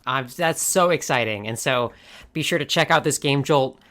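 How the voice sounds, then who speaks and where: A woman's voice: very deep voice, moderate pace, fairly bright tone. A woman hosting a podcast in casual chat, laughing often and restarting her sentences. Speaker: podcast host.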